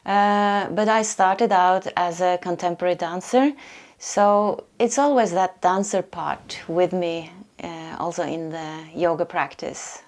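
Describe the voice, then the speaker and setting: Woman, Norwegian accent, middle-aged, soft-spoken, searching for words, low-ish pitch. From Norway. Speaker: yoga teacher. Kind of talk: reflective portrait interview. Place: yoga retreat.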